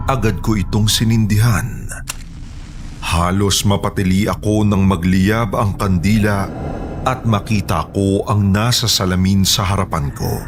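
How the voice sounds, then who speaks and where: A man with a Filipino accent reading scripted first-person horror narration. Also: lowish voice.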